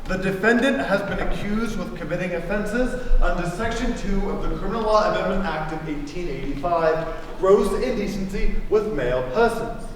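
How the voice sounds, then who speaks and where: A young stage actor playing a relentless Victorian prosecutor, delivering formal, accusatory courtroom speeches. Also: male voice, sits high, normal speed, a little hiss or hum, lightly acted. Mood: accusing. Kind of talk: stage play performance. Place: theater stage. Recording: echoey theater from far off.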